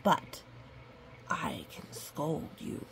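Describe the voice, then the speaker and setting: A woman reading the lines of a motherly female polar bear in a storybook, soft and measured, firm but caring. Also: in a whisper, rough recording. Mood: softly scolding.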